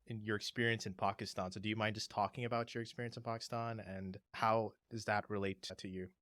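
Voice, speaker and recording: A man's voice: fairly low pitch, flat delivery, fast, a bit bright, dry recording, clean studio sound. A man hosting an interview, asking casual questions with informal, tentative phrasing. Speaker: interviewer, host.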